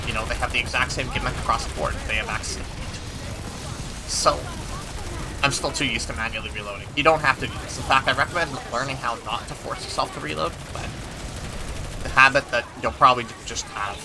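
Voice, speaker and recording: A man giving an analytical, casual review as commentary while he plays a video game. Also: mid-range voice. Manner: analytical, talks while playing. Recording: gunfire and game battle noise behind. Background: video game gunfire and vehicles.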